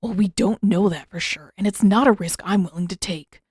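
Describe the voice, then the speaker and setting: A woman's voice: mid-range voice, normal speed, darkish tone, slightly rough, no room sound, close to an everyday voice. A woman voicing a protective, blunt teenage boy, a prince in a fantasy audiobook, with an urgent, dry manner.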